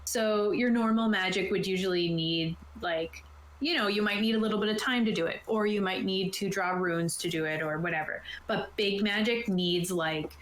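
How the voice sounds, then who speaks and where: A woman's voice: mid-range voice, normal speed, a little hiss or hum. A woman game master casually explaining tabletop game rules in an informal, conversational way. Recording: roomy, echoey voice sound.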